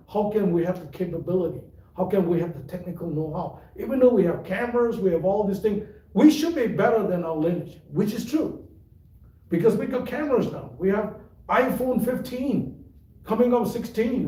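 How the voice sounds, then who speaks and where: A tai chi teacher with a mixed Malaysian and British accent, giving an unhurried, rambling livestream talk full of fillers. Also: male voice, high-pitched, warm tone. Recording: echoey room, voice from far off.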